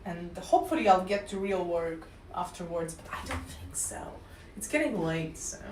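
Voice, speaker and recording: Russian-accented woman streamer, playful and chatty, mid-pitched, roomy distant mic. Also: slight background noise. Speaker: livestreamer. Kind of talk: casual stream chat.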